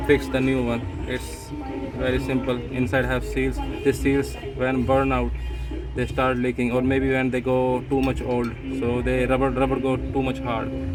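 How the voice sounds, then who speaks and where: A man's voice: medium pitch, rough recording. A man with an Indian accent and non-native English gives a casual hands-on repair tutorial in simple, repetitive phrasing. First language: Punjabi or Hindi. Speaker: repair channel host, machinery mechanic. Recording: music playing behind the voice.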